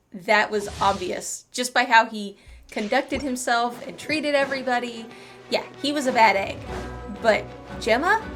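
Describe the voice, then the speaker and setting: A woman's voice: mid-range voice, a bit bright. A woman giving casual, playful game commentary, talking to herself and tossing in little sound words. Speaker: gaming streamer. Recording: game music behind the voice. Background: video game music.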